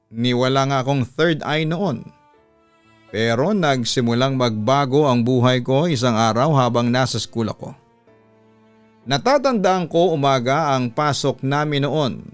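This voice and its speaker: A man's voice: medium pitch, clear, resonant tone, no room sound. A man with a Filipino accent, a radio host reading a listener's letter as scripted dramatic storytelling. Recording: music behind the voice. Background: background music.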